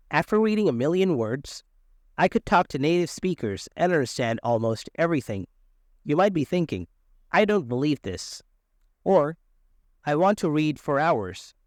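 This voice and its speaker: A man narrating a scripted English lesson with a somewhat high voice that moves up and down a lot. His reading is clear and even. The sound is dry and close, with no room around it. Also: no room sound.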